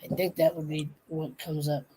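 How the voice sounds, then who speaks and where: A woman's voice: low-pitched, little pitch movement, moderate pace, mellow, a little hiss or hum. A woman co-hosting a casual chat, telling a story in a rambling way that trails off and restarts. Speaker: podcast co-host.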